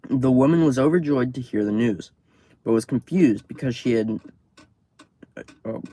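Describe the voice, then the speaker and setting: A man reading horror stories on a live stream, outdoors at night, casual and tripping over words, his voice going hoarse. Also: mid-range voice, normal speed, warm tone, dry recording. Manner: swears freely, stumbles over words. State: losing his voice.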